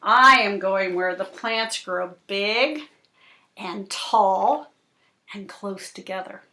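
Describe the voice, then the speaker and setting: A woman voicing a small, boastful fish thief in a picture book, confiding in a deliberate storybook delivery. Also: mid-range voice, pushed and bright. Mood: self-assured. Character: small sneaky fish, boastful, self-assured hat thief. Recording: roomy, echoing indoor sound.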